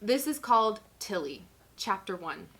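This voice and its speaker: A woman in her twenties hosting a casual comedic vlog, giggly, animated and self-mocking, sometimes mock-solemn as she reads aloud. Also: mid-range voice, a little slow, noisy background. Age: 20s. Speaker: vlog host reading own teenage writing. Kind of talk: casual comedic vlog chat with dramatic reading.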